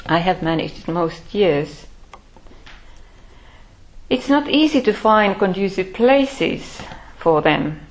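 Older woman telling her life story slow and thoughtful, lots of pauses. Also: medium pitch, lively intonation. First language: Finnish. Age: 60s. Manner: measured, thoughtful, pausing often. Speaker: Buddhist order member. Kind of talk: reflective personal life-story talk.

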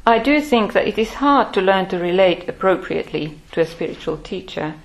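Older woman telling her life story slow and thoughtful, lots of pauses. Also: medium pitch, animated, poor audio. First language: Finnish. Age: 60s. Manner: measured, thoughtful, pausing often. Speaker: Buddhist order member. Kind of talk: reflective personal life-story talk.

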